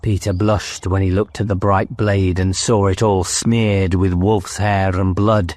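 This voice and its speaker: A man's voice: low voice, flat delivery, a little slow, a little mellow, no echo. A man reading a children's fantasy audiobook as narrator, in a dramatic storytelling style.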